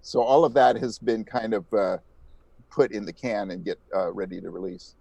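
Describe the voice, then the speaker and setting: A man's voice: lowish voice, fairly even intonation, normal speed, gravelly, a little mellow, no room sound, lo-fi sound. Man chatting casually in an interview, dry sound. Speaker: podcast creator being interviewed.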